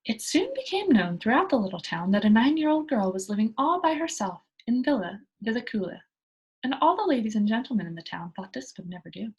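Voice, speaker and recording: A woman reads a children's story aloud with a lively, varied pitch. Her voice has a husky edge, and she addresses her young listeners directly as a storyteller. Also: brisk, muffled recording. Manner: engaging listeners with simple questions.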